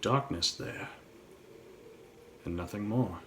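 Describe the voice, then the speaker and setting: A man narrating a classic poem as a dramatic gothic recitation, theatrical and swinging between hushed and loud delivery. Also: fairly low pitch, takes it slowly, a little hiss or hum.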